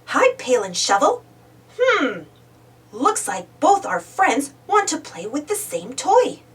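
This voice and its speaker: A woman plays a cheerful young preschool host from a storybook, talking straight to small children in a sing-song way. The voice is high and swoops widely, with a slow, careful pace. It sounds a little away from the microphone. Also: huge pitch swings, a bit bright, far from the mic, heavily acted. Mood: curious, encouraging. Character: Joe, cheerful young man hosting a preschool show. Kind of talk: children's storybook read-aloud.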